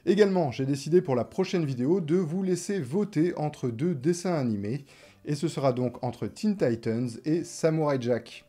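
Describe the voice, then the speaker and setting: A man's voice: fairly high pitch, lively intonation, moderate pace, mellow. A man narrating a scripted but conversational video essay, informal, putting questions straight to his viewers. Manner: informal, rhetorical questions, direct address to viewers. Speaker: host of a cartoon video essay channel. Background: background music.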